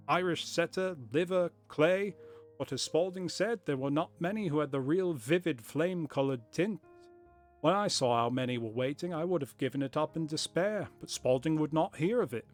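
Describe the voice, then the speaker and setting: A man's voice: mid-range voice, close to an everyday voice. Man voicing a storytelling shopkeeper, put-on period accent, mid-range voice, faint music underneath. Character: chatty middle-aged male shopkeeper telling his story. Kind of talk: reading a story aloud.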